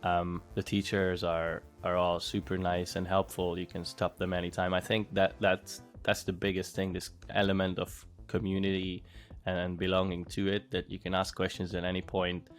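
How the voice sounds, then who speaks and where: A man from Hungary with a low, level voice that moves little in pitch. He answers interview questions in a relaxed, thoughtful way, often pausing with fillers and starting sentences over. Soft music plays faintly underneath. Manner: thoughtful, with frequent fillers and restarts. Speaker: interviewee, former bootcamp student.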